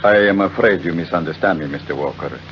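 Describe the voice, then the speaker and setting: An actor playing a smug, shabby-genteel blackmailer of about fifty in old radio drama, speaking with formal, unhurried mock courtesy. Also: male voice, sits low, natural voice. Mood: oily, polite. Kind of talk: 1940s radio acting. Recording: dull, noisy old radio recording.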